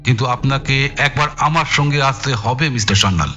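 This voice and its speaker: An actor playing a brusque, menacing, sarcastic police inspector in an audio drama, needling with emphatic, taunting politeness. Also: male voice, mid-range voice, fairly quick, sharp tone, faint music behind, lightly acted. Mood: stern, threatening.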